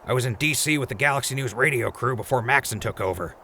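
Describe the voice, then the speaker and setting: An actor playing a wisecracking, chatty ghoul radio host in a post-apocalyptic audio drama. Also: male voice, mid-range voice, fast, pushed and bright, slight background noise. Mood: anxious pleading.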